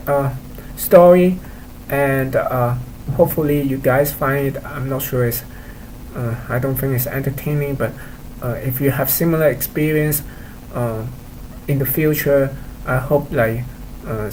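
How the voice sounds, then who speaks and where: Man, Cantonese first language, casual vlog rant, hesitant and annoyed, mid pitch, faint noise behind. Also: a little mellow, poor audio. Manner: halting, full of fillers and restarts, complaining. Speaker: vlogger. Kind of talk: casual monologue to camera.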